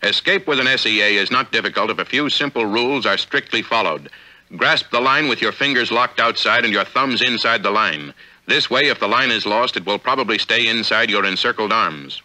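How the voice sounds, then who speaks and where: An American man reading formal, measured, authoritative narration for a 1950s instructional film, on an old film soundtrack. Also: lowish voice, pushed and bright, low-quality recording. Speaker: narrator of a military training film.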